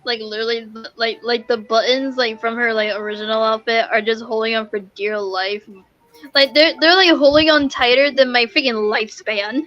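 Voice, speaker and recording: High-voiced, giggly woman gaming streamer. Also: steady tone, normal speed, fairly bright tone, muffled recording. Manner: giggly, gushing, slangy. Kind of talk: casual gameplay commentary.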